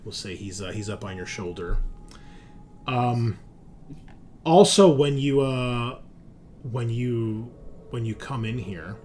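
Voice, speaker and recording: A man running a tabletop roleplaying game as game master, describing scenes in a halting, improvised way. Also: medium pitch, a little mellow, slight background noise. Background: background music.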